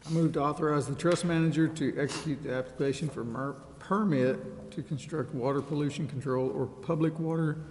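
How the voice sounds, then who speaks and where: A man on the board reading a motion aloud in a steady, careful way. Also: on the high side, fairly quick, few pauses, long reverb tail, a little hiss or hum. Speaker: board member. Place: city council chamber.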